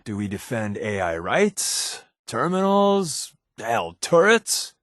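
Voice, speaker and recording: A man's voice: mid-range voice, sing-song, slow pace, fairly bright tone, dry recording, studio quality. A man playing a wry, sardonic secret agent companion in a video game, talking casually and confidingly with a wisecracking edge. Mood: explaining, thoughtful. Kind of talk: conversational video game voice acting.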